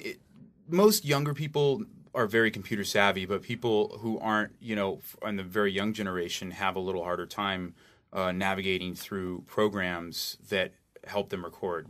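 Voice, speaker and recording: A man giving informal interview answers as an audio engineer, haltingly thinking aloud as he explains. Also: low voice, fairly bright tone.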